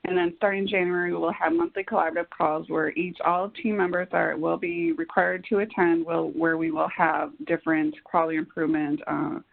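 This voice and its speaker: Halting woman presenter with a creaky voice. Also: lowish voice, flat delivery. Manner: halting, piecemeal phrasing. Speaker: presenter on an orientation call. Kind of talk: slide presentation on a conference call. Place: web conference call. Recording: dull, lo-fi conference call audio.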